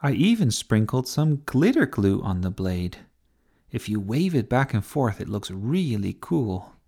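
A man voicing a bossy, excitable young girl in a children's storybook, with a theatrical announcer-like delivery. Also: lowish voice, unhurried, slightly rough, heavily acted. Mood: eager, proud. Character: bossy, excitable young girl giving breathless play-by-play commentary.

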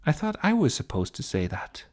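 A man's voice: on the high side, wildly swooping pitch, moderate pace, voice pushed up. A man voicing a hesitant, good-natured child in a children's storybook, questioning and obliging.

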